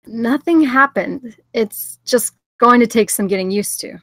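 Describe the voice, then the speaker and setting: A woman's voice: on the high side, unhurried, a bit bright, dry recording. Guarded teenage girl played by a woman, dry sound. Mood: guarded, reluctant. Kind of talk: scripted table-read acting.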